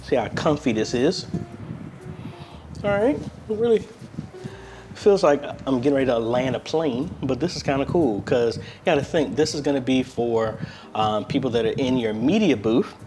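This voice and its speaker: A man's voice: medium pitch, animated, normal speed, background noise. An American man hosting a casual unboxing review, talking in a conversational, unscripted way as he thinks aloud. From United States. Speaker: video host and church media ministry member. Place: apartment room, indoors.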